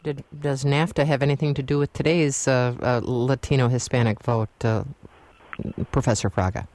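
A man hosting a radio call-in show, with a hesitant, halting way of putting questions, close on a studio microphone. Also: mid-range voice, fairly even intonation, a little mellow.

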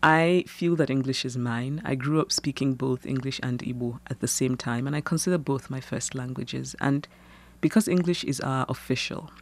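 A woman from Nigeria with a Nigerian accent, a novelist who reads her fiction aloud and answers interview questions in a measured, thoughtful way, laughing easily. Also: extremely low pitch. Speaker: novelist, guest.

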